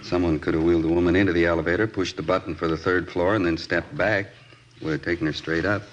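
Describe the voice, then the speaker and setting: An actor playing a matter-of-fact hospital doctor in a 1950s American radio drama, speaking in clipped phrases. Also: male voice, low voice, fairly even intonation, fast talker, close to an everyday voice. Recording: dull old radio recording.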